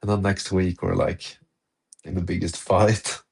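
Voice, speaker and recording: Slow, low-voiced laid-back man hosting. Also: flat delivery, creaky, slight background noise. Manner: laid-back, chuckles between phrases. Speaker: podcast host. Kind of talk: casual live audio-room chat.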